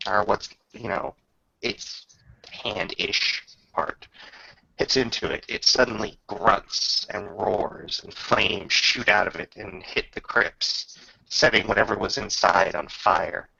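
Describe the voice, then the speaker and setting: A man improvising dramatic, descriptive narration as game master of a tabletop roleplaying game, pausing between phrases. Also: fairly low pitch, steady tone, vocal fry, bright, forceful tone, highs cut off.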